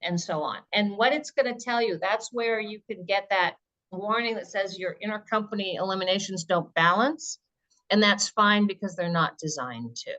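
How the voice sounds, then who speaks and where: A woman's voice: mid-range voice, moderate pace, bright, forceful tone, rough recording. A woman presenter answering questions in an informal webinar, explaining things step by step and thinking aloud.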